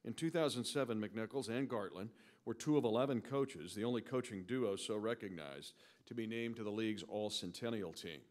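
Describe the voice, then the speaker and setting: A man with a fairly low voice serves as announcer at an awards ceremony. He reads formal, scripted introductions of honorees in a measured, orderly way.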